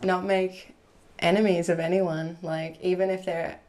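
A woman's voice: fairly low pitch, unhurried. A young woman in her twenties talking casually as a podcast guest, laughing easily, recorded close on a studio mic at home. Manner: laughs easily, relaxed and chatty. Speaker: guest, film crew camera assistant. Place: home room with warm lamp, indoors. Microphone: large podcast mic on boom arm.

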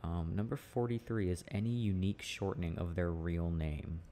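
A relaxed, teasing boyfriend played by a man in an intimate audio roleplay. His voice sits low with a gravelly fry, and he muses haltingly and casually. Some ambient noise sits behind him. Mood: relaxed musing. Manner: halting, musing, chuckling delivery. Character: teasing, easygoing boyfriend chatting with his partner.

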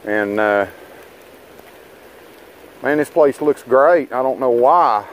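A man's voice: mid-range voice, takes it slowly, dull audio, a little hiss or hum. Folksy man fishing a riverbank. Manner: folksy, rambling, talks to viewers as guys and boys. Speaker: fishing vlogger. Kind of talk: casual running commentary while fishing. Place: outdoors on a rocky riverbank. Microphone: body-worn action camera.

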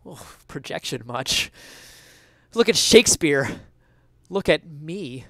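High-pitched young man, theatrical reader. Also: sing-song, takes it slowly, creaky, bright, forceful tone, no echo. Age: young adult. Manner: theatrical, mock-indignant, emphatic. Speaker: streamer reading an article aloud. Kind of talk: dramatic reading of an opinion essay. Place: small indoor room at home. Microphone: headset.

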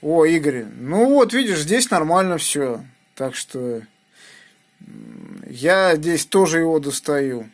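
A man's voice: a little high, wildly swooping pitch, slow, creaky. A man working as an amateur radio operator, talking casually on the air and using call signs, recorded on a phone indoors. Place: small indoor room at a home radio station. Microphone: phone held near the radio.

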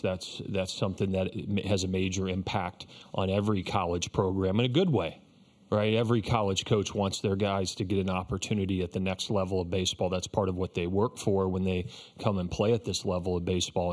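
A low-voiced man in his fifties, a baseball coach, takes reporters' questions at a postgame press conference. He speaks in a flat, even line, measured and reflective, often restarting with "you know." His voice comes through a table microphone in an indoor press room.

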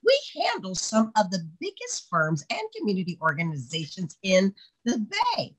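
A woman's voice: medium pitch, huge pitch swings, normal speed, slightly rough, low-quality recording. A woman playing a chatty, sardonic secretary with folksy endearments in an online play reading. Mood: breezy. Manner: folksy, sardonic, calls people hon and sweetie. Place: indoors on a video call. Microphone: video call microphone.

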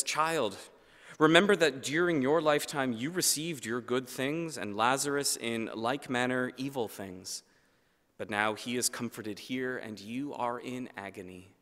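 A man in his thirties preaching a sermon at a lectern microphone in a large church, measured and earnest, letting his phrase ends fall low and quiet. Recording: long church reverb.

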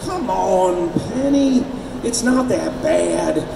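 A man's voice: sits high, lively intonation, slow pace, vocal fry, voice pushed up, full character voice. A man voicing a menacing, irritable male villain from a story, cajoling or curt. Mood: coaxing. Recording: roomy, distant hall recording with loud noise.

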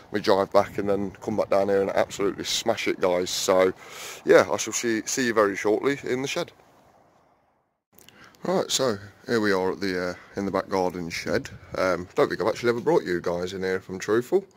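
A man's voice: fairly low pitch, fairly quick. A man chatting casually to viewers while walking around his allotment and shed, full of fillers and calling them guys. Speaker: allotment vlogger. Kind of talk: casual walk-and-talk vlog. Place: outdoor allotment, then small garden shed.

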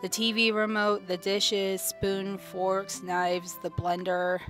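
Woman acting deadpan young woman, comic dub, unimpressed, matter-of-fact, medium pitch. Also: slow pace, over music, lightly acted.

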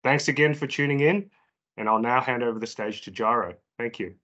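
A man's voice: mid-range voice, a little fast, bright, forceful tone. A man hosting a webinar, reading a polite scripted introduction and housekeeping notes. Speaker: webinar host. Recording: dull lo-fi video call audio.

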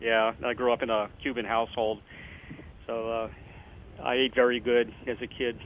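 A man hosting a radio interview, casual and friendly, with many quick backchannel replies, heard over a phone line. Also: mid-range voice, little pitch movement. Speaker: radio show host.

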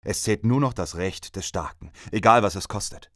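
An actor playing a grim, disillusioned soldier and survivor in an audio drama, brooding and serious. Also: male voice, lowish voice, normal speed, dry recording, studio quality, close to an everyday voice. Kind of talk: audio drama narration and dialogue.

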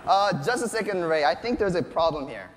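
A man's voice: sits high, a little fast, noisy background. An enthusiastic man in his thirties giving a live keynote tech demonstration as a presenter on a large stage. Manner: enthusiastic, animated showman. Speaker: presenter, robotics researcher. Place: large conference stage, indoors. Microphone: lapel mic. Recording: echoey hall with long reverb.

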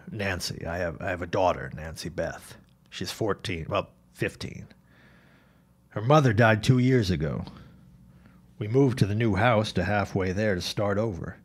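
An actor playing an earnest, troubled forty-year-old newspaperman and widowed father in a period audio drama, speaking haltingly. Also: low-pitched. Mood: shaken, troubled.

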